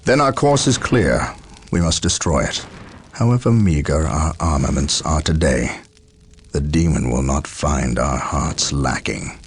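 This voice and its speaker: A man playing a stern, battle-hardened space marine captain in a video game cutscene, grave and deliberate. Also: rumbling low, expressive, breathy edge, full character voice. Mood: resolute. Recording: dramatic music behind the voice.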